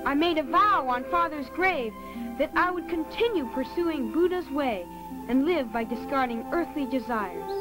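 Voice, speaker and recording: A woman voicing an earnest, devout young boy in an animated film, speaking solemnly and gently. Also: high voice, normal speed, rough recording. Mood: solemn resolve. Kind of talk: animated film dub acting. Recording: loud music under the voice. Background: loud music.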